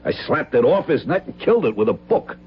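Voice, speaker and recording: An actor playing an earnest, deferential police guard in an old-time radio drama. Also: male voice, mid-range voice, sing-song, a little fast, close to an everyday voice. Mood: defensive, earnest. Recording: muffled, noisy old radio recording.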